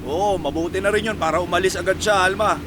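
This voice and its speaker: A man's voice: high voice, fast, noisy background, lightly acted. An actor with a Filipino accent playing a defiant, loyal young man in a radio drama. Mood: casual, hesitant.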